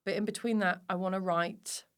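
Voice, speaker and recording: Animated British woman chatting. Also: mid-range voice, a little fast. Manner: sweary. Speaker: podcast guest, comedian; clown performer and teacher. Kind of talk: casual interview chat.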